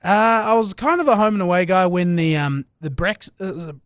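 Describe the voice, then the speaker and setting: A man's voice: high-pitched, moderate pace, no room sound. A man with a New Zealand accent co-hosting a podcast in casual studio banter. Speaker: podcast co-host.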